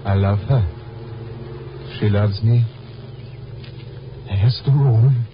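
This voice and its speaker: An actor playing a tormented amnesiac man in a 1950s radio drama, speaking in a halting, dazed way. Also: lowish voice, noisy background. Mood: entranced, halting. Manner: halting, dazed, trance-like delivery. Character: tormented amnesiac mentalist reliving a lost love, man. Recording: muffled old radio broadcast, music behind.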